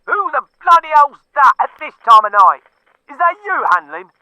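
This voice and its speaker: A man's voice: very high-pitched, sing-song, moderate pace, sharp tone, no echo, pitched above the performer's own voice, heavily acted. A man voicing a foul-mouthed, furious police superior who blusters and bellows down a telephone line in an audiobook. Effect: telephone filter. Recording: thin band-limited telephone sound.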